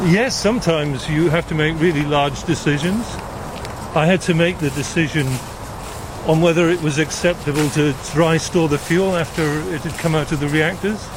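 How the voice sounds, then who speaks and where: An older man from South Wales, explaining things in a measured way as an interview guest. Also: on the high side. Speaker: interview guest, retired nuclear engineer. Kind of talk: explanatory conversation. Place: outdoors walking in a gorge. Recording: outdoor recording with clear traffic noise. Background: road traffic and outdoor ambience.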